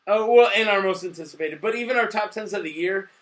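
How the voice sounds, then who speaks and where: A drunk man co-hosting a casual, sweary movie review, excitable and given to shouting. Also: high voice, fast, noisy background.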